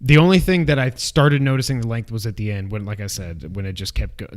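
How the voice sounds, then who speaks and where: A man talking casually and excitedly as a co-host of a movie review, with an exclamatory fan's manner. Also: lowish voice, fast talker. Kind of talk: casual chat.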